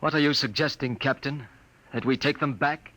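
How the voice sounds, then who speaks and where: A man's voice: mid-range voice, moderate pace, a bit bright, lightly acted, poor audio. An actor playing a hard-headed, righteous spaceship crewman in a 1950s radio drama, stern and clipped. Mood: cold, challenging. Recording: vintage radio recording, faint noise.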